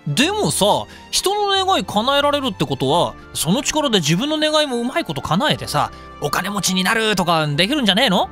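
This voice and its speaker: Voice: medium pitch, huge pitch swings, fairly bright tone, soft background music. A performer playing a cheeky, incredulous young man with slangy, exclamatory speech in a comedic voice drama. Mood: sympathetic. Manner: slangy, quick-reacting, exclamatory.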